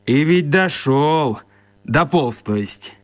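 An actor playing a plump young foreign spy, earnest and comically matter-of-fact, in a radio play. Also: male voice, a little high, lively intonation, slow. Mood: weary.

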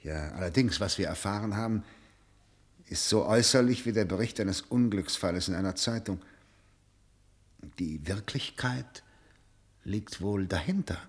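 An actor playing a probing interrogator in a radio play, formal, articulate and pressing. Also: male voice, lowish voice, normal speed, pauses often, lightly acted. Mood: searching, persuasive. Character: probing, insistent male interrogator of a prisoner.

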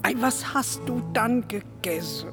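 A woman's voice: mid-range voice, animated, a little slow, over music, lightly acted. An actress playing a homely, nudging mother with a Hessian accent in a German radio drama. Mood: coaxing.

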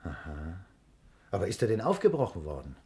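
An actor playing a flustered, obliging warehouse owner in a 1960s German radio crime drama, polite and a little hesitant. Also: male voice, low-pitched, huge pitch swings, brisk, mellow, full character voice. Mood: flustered, puzzled.

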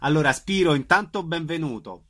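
A man's voice: fairly high pitch, expressive, brisk, a bit bright. A man hosting a radio interview, putting questions to his guest in a conversational way.

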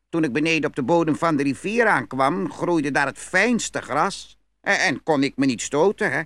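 A man's voice: on the high side, a bit bright, no room sound. A man playing a sly young peasant trickster in a fairy-tale audio play, glib and falsely innocent. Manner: glib, storytelling, feigned innocence.

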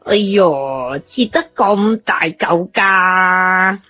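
A woman's voice: fairly low pitch, animated, drawn-out words, exaggerated voice. A woman playing a frail, childlike, perceptive ninety-four-year-old granny in a Hong Kong Cantonese radio drama. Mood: playful, knowing. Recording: muffled, music underneath.

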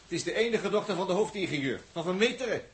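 A man's voice: high voice, animated, quick pace, husky, full character voice. A man with a Dutch accent acting a wry, blunt, jovial crewman in a 1960s radio drama. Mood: excited. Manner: colloquial, muttering, wry. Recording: noisy old 1960s radio recording.

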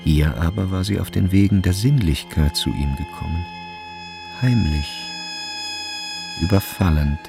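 Low, creaky male literary narrator. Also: measured pace, long pauses, over loud music. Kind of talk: literary narration in a radio drama.

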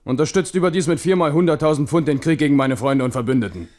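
A man's voice: fairly high pitch, fast talker, darkish tone, close to an everyday voice. An actor playing a proud, careworn French king in a German radio play, declamatory, commanding and ironic. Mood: accusing.

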